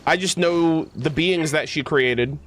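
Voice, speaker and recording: A man livestreaming, chatting casually with his viewers in a halting, thinking-aloud way. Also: fairly high pitch, a bit bright, a little hiss or hum. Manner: casual swearing. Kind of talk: casual chat with stream viewers. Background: music.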